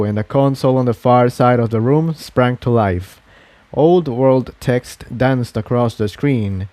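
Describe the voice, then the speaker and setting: A man's voice: mid-range voice, dark tone, no echo. A man reading scripted fiction aloud as the narrator of a fantasy adventure.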